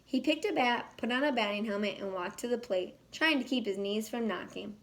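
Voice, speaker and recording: Female storyteller for a children's read-aloud, slightly high voice, expressive delivery. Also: fairly quick. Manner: animated, acts out dialogue. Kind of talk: expressive picture-book narration.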